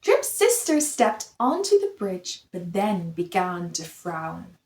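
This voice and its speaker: A woman reading a children's picture book aloud in an expressive, theatrical storytelling manner. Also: lowish voice, slow pace. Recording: roomy echo.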